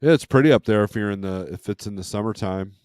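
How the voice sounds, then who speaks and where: Clear-voiced American man in his fifties. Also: lowish voice, quick pace, darkish tone, dry recording, clean studio sound. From California, United States. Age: 50s. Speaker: host, truck driver and dispatcher. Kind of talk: casual open forum chat, trucking stories.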